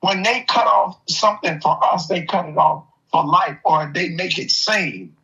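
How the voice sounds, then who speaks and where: A man's voice: sits high, animated, measured pace, pushed and bright. An older man in casual, opinionated conversation, telling stories in an emphatic, streetwise way. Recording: dull, lo-fi audio.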